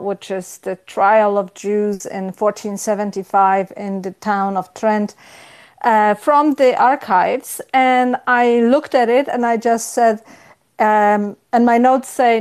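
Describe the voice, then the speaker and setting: A middle-aged woman from Poland with a Polish accent, a professor giving a conversational academic interview in a thoughtful, halting, self-correcting way. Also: medium pitch, darkish tone, clear, resonant tone. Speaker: history professor, interview guest.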